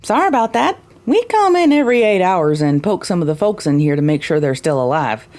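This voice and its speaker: A woman playing a brisk, teasing, motherly flophouse mare in an audio drama, folksy and matter-of-fact. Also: on the low side, big pitch swings, a little mellow.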